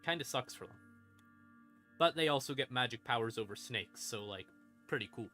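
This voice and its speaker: A man's voice: medium pitch, lively intonation, normal speed, bright, forceful tone. A man streaming a casual explanation of game lore, informal, with dry asides and dramatic emphasis. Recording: music behind the voice. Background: creepy ambient music.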